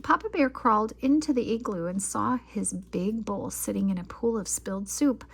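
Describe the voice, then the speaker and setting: Woman, children's storyteller, expressive read-aloud, husky, creaky, medium pitch. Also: moderate pace.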